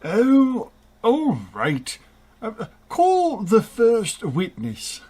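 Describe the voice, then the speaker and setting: A woman's voice: a little high, huge pitch swings, extremely slow, dark tone, a little hiss or hum, heavily acted. A woman playing a flustered, giggly monarch in a radio play, muddled and stammering as they preside over a trial.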